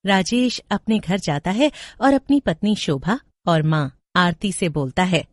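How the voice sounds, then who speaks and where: A woman's voice: lowish voice, big pitch swings, measured pace, no echo. A woman storyteller reading a scripted horror tale in Hindi.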